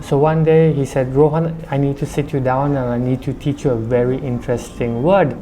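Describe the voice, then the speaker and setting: A man's voice: medium pitch, steady tone, fairly quick, warm tone, a little hiss or hum, poor audio. A middle-aged man who runs a restaurant, giving conversational interview answers and explaining things at length, with a light accent. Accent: slight Indian-English with foreign lilt. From India. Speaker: restaurant owner.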